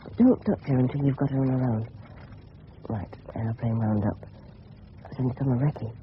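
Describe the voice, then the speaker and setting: A man's voice: mid-range voice, fairly even intonation, moderate pace, close to an everyday voice. A man playing an eager, cheeky English teenage schoolboy, thinking aloud in clipped boyish slang in a radio drama. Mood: excited, intent. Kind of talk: 1970s radio drama inner monologue. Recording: tinny, faintly noisy old radio broadcast.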